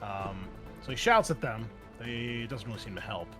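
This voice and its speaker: A man's voice: on the low side, expressive, moderate pace, music in the background. A man working as game master, narrating a tabletop roleplaying game in an informal, wry and exclamatory way.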